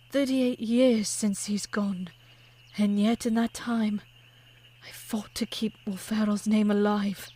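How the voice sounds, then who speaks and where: A woman's voice: on the high side, mellow, breathy edge. A woman playing an older, devoted, grieving widow of a composer, telling her story formally and wistfully in a period audio drama. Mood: composed, reflective.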